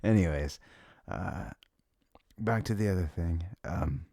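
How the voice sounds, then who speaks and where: Low husky guy doing asmr, rambly casual chat right up on a dry mic, swears a bit. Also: warm tone, no room sound. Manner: rambling, halting, informal and sweary; sniffles habitually. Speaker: ASMR audio performer. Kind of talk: intimate rambling ASMR chat, reminiscing to a listener.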